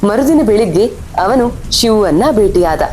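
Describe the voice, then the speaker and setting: A woman's voice: animated, normal speed, darkish tone. A woman reading scripted horror story narration as the storyteller. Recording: faint rumble and rain behind voice.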